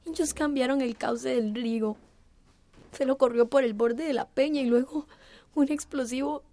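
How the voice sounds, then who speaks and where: A woman's voice: high voice, fairly even intonation, normal speed, warm tone. A woman playing a distressed, determined young village activist in a radio drama, speaking earnestly. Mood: distressed, explaining.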